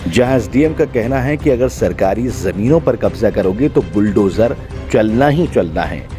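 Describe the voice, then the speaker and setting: A man reading a scripted news report as presenter. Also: on the low side. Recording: loud music bed behind voice. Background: background music.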